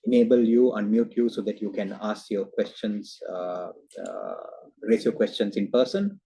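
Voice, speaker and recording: A man giving a formal investor presentation as a company executive on a video call, halting often with hesitant filler sounds. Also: lowish voice, lively intonation, gravelly, mellow, slightly rough. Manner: hesitant, halting delivery with frequent uhs.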